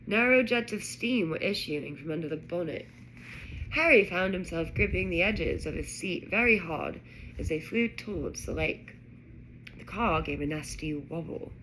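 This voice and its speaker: Female storybook reader, lower register, expressive and dramatic narration, light background noise. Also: normal speed, low-quality recording. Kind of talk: expressive read-aloud narration of a children's novel.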